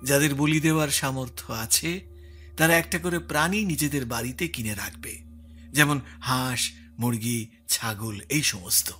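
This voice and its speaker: Man acting a bright-voiced, troubled village headman. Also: mid-range voice, lightly acted. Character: troubled, respectful village headman explaining grim events. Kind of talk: Bengali audio story acting.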